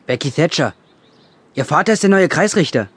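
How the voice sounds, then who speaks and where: A man's voice: fairly high pitch, lively intonation, a little fast, heavy background noise, close to an everyday voice. A male performer playing an eager, teasing schoolboy in a German children's audio drama. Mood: excited. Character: eager, teasing schoolboy, easily talked round.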